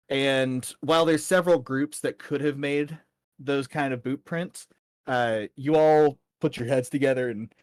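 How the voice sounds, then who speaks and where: Man narrating haltingly as game master. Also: medium pitch, dry recording. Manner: halting, pausing often mid-sentence. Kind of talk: improvised narration of a tabletop game.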